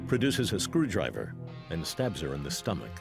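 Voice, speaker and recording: A man's voice: low-pitched, huge pitch swings, moderate pace, vocal fry, music in the background. A man with an American accent reading a scripted true-crime documentary narration.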